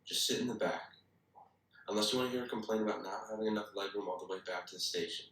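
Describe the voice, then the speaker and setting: Man acting serious young cop, low voice, wry, very echoey recording. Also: fast, fairly bright tone, slight background noise, natural voice. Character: wry, serious young policeman pleading for a friend. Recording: very echoey, distant call audio.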